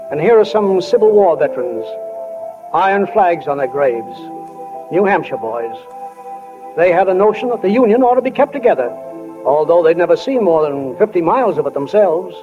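An actor playing a folksy, reflective small-town storyteller in a 1940s radio play, musing and homespun. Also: male voice, high-pitched, animated, music in the background. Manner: homespun, musing, unhurried, addresses listeners as friends. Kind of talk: 1940s radio acting, narration. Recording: tinny old 1940 radio broadcast.

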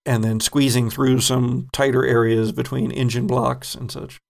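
A man narrating as game master of a tabletop horror game, describing scenes at an unhurried pace. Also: mid-range voice, level tone, creaky.

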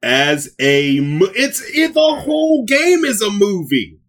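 A man's voice: sits high, very animated, slow, fairly bright tone. A man co-hosting a podcast in casual, slangy, informal banter. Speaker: podcast co-host. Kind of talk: casual banter reviewing movies and shows.

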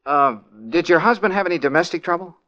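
An actor playing a brash young private detective, flippant and excitable, in old-time radio comedy-mystery style. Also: male voice, high voice, fast talker, highs cut off. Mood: cagey, reassuring. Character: brash, wisecracking young male private detective, flustered novice.

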